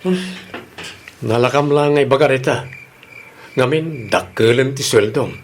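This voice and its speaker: An actor with a Filipino accent playing an affectionate but insecure husband who broods about money, in an Ilocano radio drama. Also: male voice, medium pitch, a little slow, a little hiss or hum, lightly acted. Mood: dejected.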